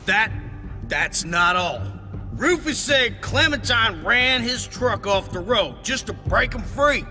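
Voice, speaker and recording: A hostile settlement guard with a high, animated voice in long reverb. He is blunt and accusatory, in video game drama style. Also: slow, soft background music, full character voice. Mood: accusing.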